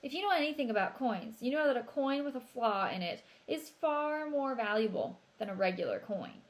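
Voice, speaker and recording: A woman reading the part of a gruff, shady male shopkeeper who haggles curtly in a storybook. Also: on the high side, sing-song, moderate pace, pitched above the performer's own voice. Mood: sly salesman. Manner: curt, salesman-like haggling. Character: shady, gruff male coin shop owner.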